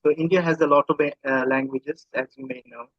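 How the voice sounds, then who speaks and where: A Bangladeshi man chats as an interview guest in a fairly high voice. He is a native Bengali speaker with a Bangladeshi accent, circling back over words as he explains. The recording is dry and somewhat muffled. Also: steady tone, brisk, dry recording. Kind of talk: casual interview conversation.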